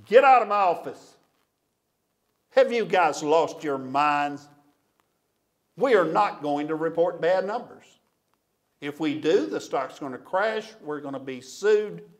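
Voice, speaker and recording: Man acting out a forceful, domineering company boss. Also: on the high side, lively intonation, takes it slowly, pauses often, pitched above the performer's own voice. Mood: furious. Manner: forceful, scolding, pleading. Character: domineering, irate male company boss, bullying and persuasive.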